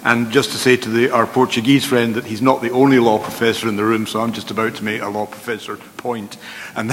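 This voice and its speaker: A man's voice: mid-range voice, a bit bright. A man, a law professor, making a precise point with dry self-deprecating humor on an expert panel. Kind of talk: conference panel remarks. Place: large conference hall. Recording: long-reverb conference hall.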